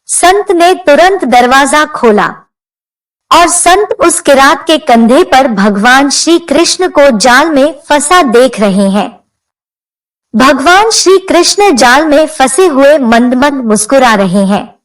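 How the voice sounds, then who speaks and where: A woman's voice: high-pitched, lively intonation, slow, recorded from a distance, poor audio. A woman narrating a scripted devotional story as a storyteller.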